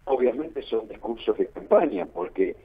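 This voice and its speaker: A man's voice: mid-range voice, expressive, normal speed, slightly rough. A man with an Argentine accent giving political analysis in a radio interview, repeating phrases for emphasis. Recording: narrow phone-like line, muffled.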